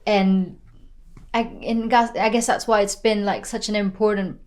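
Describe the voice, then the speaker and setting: Chatty young woman of twenty as a guest, many fillers, Tagalog speaker, mid-pitched voice. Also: steady tone, normal speed. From Philippines. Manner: chatty, many "like" and "you know" fillers, laughs often. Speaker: podcast guest. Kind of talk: casual interview chat.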